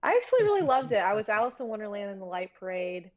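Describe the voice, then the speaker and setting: A woman's voice: mid-range voice, sing-song, racing. A woman guest on a podcast, chatting casually in an informal, slangy way. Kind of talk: casual chat. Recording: dull lo-fi stream audio.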